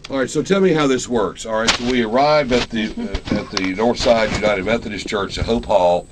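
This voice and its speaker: A man's voice: mid-range voice, brisk, background noise. An American man hosting a radio show, chatting informally as he interviews guests and promotes events, asking plenty of questions. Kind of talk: casual interview and on-air promotion. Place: radio station.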